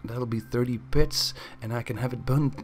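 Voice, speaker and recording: A man's voice: medium pitch, fairly even intonation, moderate pace, lightly acted. A man doing a stammering timid shopkeeper. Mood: nervous. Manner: stammering, nervous. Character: nervous male stallion shopkeeper, timid.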